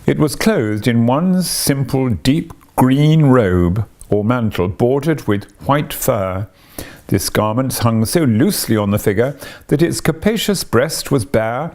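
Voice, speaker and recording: Gravel-voiced male storyteller. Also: mid-range voice, lively intonation, a little slow, darkish tone. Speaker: audiobook narrator. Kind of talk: scripted literary narration.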